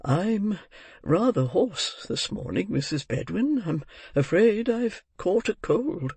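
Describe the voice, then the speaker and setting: Man acting a brisk, emphatic old gentleman, voice raised. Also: high-pitched, very animated, slightly rough, no echo, voice pushed up, full character voice. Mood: tender, fussy. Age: older. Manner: emphatic, repetitive, questioning with "eh?". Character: brisk, kind-hearted, bustling old gentleman.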